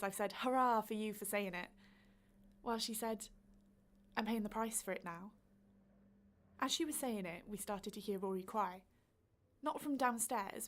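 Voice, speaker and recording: An actress as an older woman neighbour, chatty and a little nosy, yet kindly. She tells her tale in a gossipy, anecdotal way, as a radio play monologue, in a mid-pitched voice. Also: close to an everyday voice.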